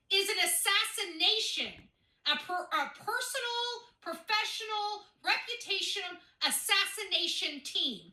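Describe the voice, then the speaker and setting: A woman's voice: very high-pitched, pushed and bright. A brash, foul-mouthed woman on a confrontational, emphatic rant. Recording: echoey room sound.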